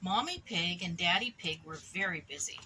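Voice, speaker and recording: Mother reading storybook: bright female voice, expressive, chatty asides to child, noticeable background noise. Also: fairly low pitch, moderate pace. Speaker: mother reading a picture book aloud. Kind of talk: animated storybook read-aloud to a child.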